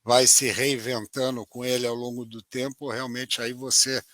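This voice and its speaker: Bright-voiced older man, dry close sound. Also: medium pitch, level tone, normal speed, no room sound. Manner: jokey, self-deprecating. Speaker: guest, market veteran. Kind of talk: casual podcast chat.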